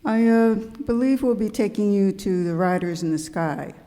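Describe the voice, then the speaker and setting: A woman's voice: medium pitch, normal speed, warm tone, lightly acted. A woman playing a formal, evasive ship captain in an amateur live radio skit, recorded in an echoey, noisy hall. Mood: cagey.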